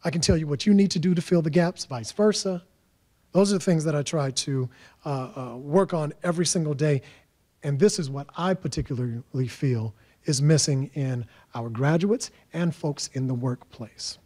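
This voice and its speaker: A man's voice: fairly high pitch, normal speed, mellow. A man who coaches and mentors students, giving an emphatic motivational talk with punchy repetition.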